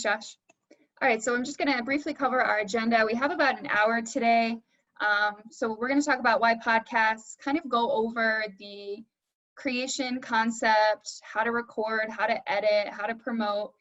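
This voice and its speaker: A woman's voice: on the high side, fairly bright tone. A woman hosting a panel over a video call, presenting casually to an online audience. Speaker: panel host, moderator. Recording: dull lo-fi online meeting audio.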